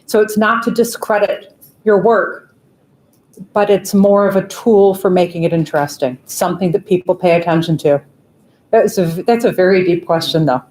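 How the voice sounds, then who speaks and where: A woman on a panel answering an audience question in a measured, explanatory way. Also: fairly low pitch, darkish tone, slight background noise. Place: large auditorium. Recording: echoey auditorium.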